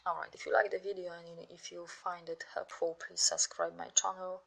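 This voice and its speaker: A woman with a Polish accent, giving step-by-step instructions in a software tutorial over mouse clicks. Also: lowish voice, level tone, brisk, gravelly, poor audio. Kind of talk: screen-recorded software tutorial.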